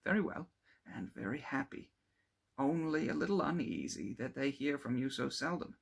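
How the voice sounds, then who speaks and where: A man's voice: medium pitch, lively intonation, normal speed, vocal fry, slightly rough, voice pushed up. A man voicing a cheerful, eager young male friend in a storybook, effusive and affectionate. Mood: bright, concerned. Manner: exclamatory, effusive.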